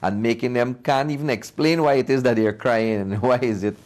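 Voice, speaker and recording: A man's voice: medium pitch, fairly quick, darkish tone. A man being interviewed as a filmmaker, answering earnestly and conversationally, repeating phrases for emphasis. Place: TV studio.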